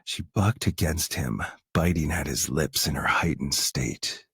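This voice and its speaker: A man reading sensual romance narration slowly, in a gritty, whispery voice. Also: extremely low pitch, fairly bright tone, husky, no echo, clean studio sound. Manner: slow, drawn-out delivery. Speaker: voice actor contestant.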